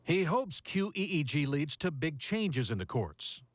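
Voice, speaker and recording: Lively American male news reporter. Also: fairly high pitch, measured pace, no room sound. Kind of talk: scripted news narration.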